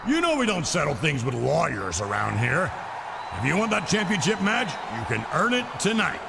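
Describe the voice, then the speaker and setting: A man's voice: fairly high pitch, huge pitch swings, normal speed, creaky, noisy background, exaggerated voice. A man playing a brash, commanding authority figure in a wrestling video game cutscene, speaking emphatically.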